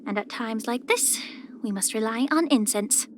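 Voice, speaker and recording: A woman voicing a poised, soft-spoken, subtly sly young female healer in a video game, with measured, gentle, composed delivery. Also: fairly high pitch, noisy background, lightly acted. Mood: calm, knowing. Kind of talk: scripted character voice lines.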